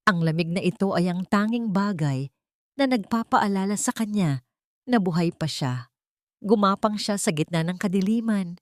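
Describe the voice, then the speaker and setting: A woman's voice: medium pitch, no room sound, studio quality. A woman with a Filipino accent reading scripted, dramatic narration for a romance drama story.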